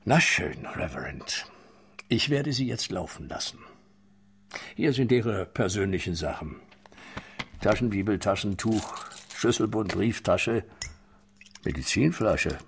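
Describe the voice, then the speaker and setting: An actor playing a blunt, sarcastic police detective questioning suspects in a radio crime drama. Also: male voice, lowish voice, very animated, measured pace, vocal fry, pauses often, slight background noise, full character voice. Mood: sardonic. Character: tough, sardonic male police detective.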